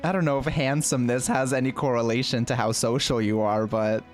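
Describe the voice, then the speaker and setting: A woman's voice: very deep voice, fairly even intonation, brisk, a little mellow. A woman streaming a game, reacting and chatting casually. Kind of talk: casual livestream reactions and chat. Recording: game music behind. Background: game music and dialogue.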